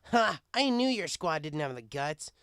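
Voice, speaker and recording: A man voicing gruff, taunting male pony troublemakers in a story. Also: a little high, sing-song, measured pace, fairly bright tone, dry recording, clean studio sound, pitched above the performer's own voice, full character voice. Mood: jeering. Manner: jeering, pushy.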